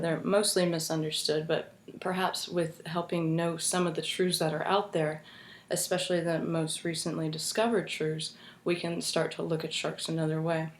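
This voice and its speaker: A young American woman giving an informative class speech in an earnest, halting way, with frequent restarts, at home with her roommates listening. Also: sits low, slight background noise. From United States. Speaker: student speaker. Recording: echoey room.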